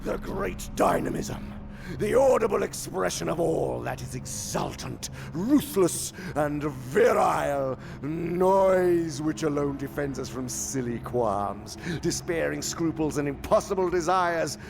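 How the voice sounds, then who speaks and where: A man playing an imperious senior demon in a theatrical radio drama, venomous and contemptuous, with a gravelly voice and grandiose, sneering delivery. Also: on the high side, big pitch swings, slow, music in the background, exaggerated voice. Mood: ranting, exultant. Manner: grandiose, sneering, savoring words, swinging from hiss to roar.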